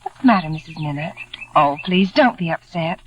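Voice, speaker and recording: A woman playing a sweet, eager young newlywed wife, gentle and polite, in 1940s radio drama style. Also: low voice, animated, brisk, a little hiss or hum. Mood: gentle eagerness. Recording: dull vintage radio broadcast.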